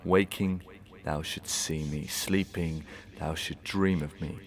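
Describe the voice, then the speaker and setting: An actor playing a guilt-racked, tormenting gentleman murderer in a Victorian audio melodrama, with theatrical, deliberate, archaic delivery. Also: very deep voice, fairly even intonation. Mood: sinister, taunting. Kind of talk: Victorian stage melodrama acting in an audio drama.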